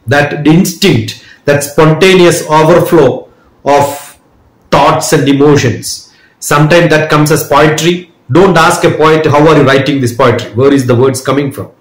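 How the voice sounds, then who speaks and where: A man answering interview questions at length, measured and reflective, with small restarts. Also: fairly high pitch. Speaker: guest, educator and speaker. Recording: roomy echo.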